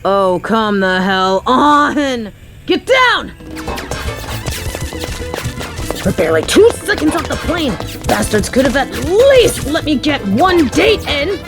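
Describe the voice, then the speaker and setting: A woman's voice: sits high, sing-song, unhurried, over music, heavily acted. A woman playing a flirty, possessive and unhinged female mafia boss in a roleplay audio drama, theatrical in her delivery. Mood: exasperated, alarmed. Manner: theatrical, swinging from sweet cooing to manic shouting and muttering.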